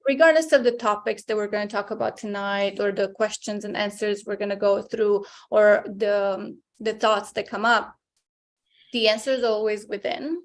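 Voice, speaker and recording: A woman's voice: medium pitch, fairly even intonation, normal speed. A woman from Romania, a guest coach giving earnest, encouraging interview answers and speaking straight to the listeners.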